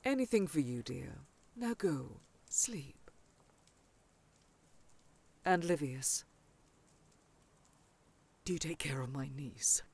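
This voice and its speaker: A woman's voice: low voice, husky, heavily acted. A woman playing a caring, authoritative older scholarly aunt in a fantasy audio roleplay, with solemn, formal delivery. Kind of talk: audio roleplay drama acting.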